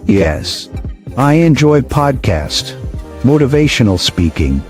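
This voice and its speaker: A man from Guyana answering prepared interview questions deliberately, repeating each question in his answer. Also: mid-range voice, big pitch swings, darkish tone. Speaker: interviewee, motivational platform founder. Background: music.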